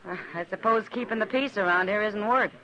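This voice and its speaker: A woman's voice: mid-range voice, fairly quick, bright, forceful tone, lightly acted. An actress playing a dry-witted, fond saloon woman who teases in an easy, wry way, in a vintage radio drama. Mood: wry teasing. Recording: dull old radio broadcast, bar crowd behind.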